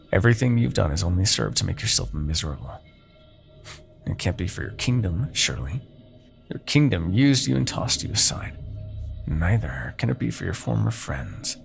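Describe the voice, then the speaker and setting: A man playing a haughty, seductive demon king in a fantasy audio roleplay, persuading with silky condescension, dramatic pauses and a gravelly voice. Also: full character voice. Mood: probing, condescending. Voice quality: gravelly, creaky low voice. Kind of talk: fantasy ASMR roleplay monologue.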